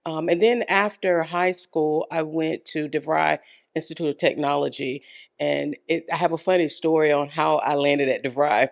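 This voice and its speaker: A woman's voice: deep voice, steady tone, normal speed, vocal fry. Earnest woman in her fifties. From Florida, United States. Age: 50s. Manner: earnest and encouraging, with soft laughs and hesitant ums. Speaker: podcast guest. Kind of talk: casual interview storytelling.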